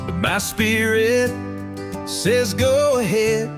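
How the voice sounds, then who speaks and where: A woman's voice: fairly high pitch, expressive, slow pace. A woman singing a country song over guitar. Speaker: singer. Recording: guitar backing track.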